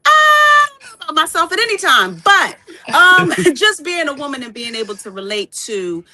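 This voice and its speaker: A woman's voice: high voice, very animated, normal speed, sharp tone. A woman, a reverend and actress, giving articulate, emphatic answers in an interview. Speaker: ordained reverend and actress, guest.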